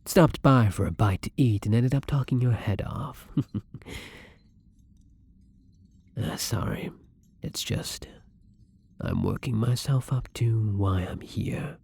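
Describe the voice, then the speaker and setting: A man playing a newly turned vampire who is kind, apologetic and hungry, speaking in a soft, halting, breathy and creaky voice in an intimate roleplay ASMR style. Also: a little hiss or hum. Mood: nervous, apologetic. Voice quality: husky, breathy, creaky low voice. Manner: hesitant, soft-spoken, halting.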